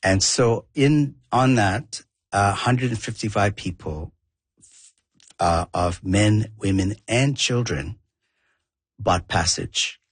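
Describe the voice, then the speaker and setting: A man from southern India whose first language is Tamil, telling a story as an interview guest in a halting way with many fillers. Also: on the low side, breathy edge, dry recording. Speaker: interview guest, documentary maker. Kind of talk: conversational storytelling.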